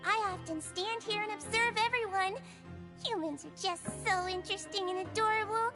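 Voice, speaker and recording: Soft sweet tiny nurse creature, really high voice, calm. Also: female voice, normal speed. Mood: gentle. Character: gentle small female nurse of a non-human species. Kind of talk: game dialogue. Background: game music.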